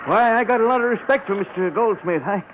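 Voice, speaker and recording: A man's voice: high voice, fast, lightly acted. Casting: man playing older jailbird street vendor, humble and jittery, stammering pleas, vintage radio crime drama, muffled and noisy sound. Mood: nervous pleading. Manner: stammering, repeating himself, streetwise slangy speech. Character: nervous aging ex-convict street chestnut peddler, humble, pleading. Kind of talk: 1950s radio detective drama acting.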